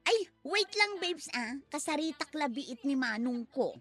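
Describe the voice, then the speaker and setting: An actress with a Filipino accent playing a chatty, lovestruck woman in a family comedy drama, with an expressive, drawling delivery. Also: high voice, unhurried, faint music behind. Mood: flirty, giddy. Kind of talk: comedy audio drama acting.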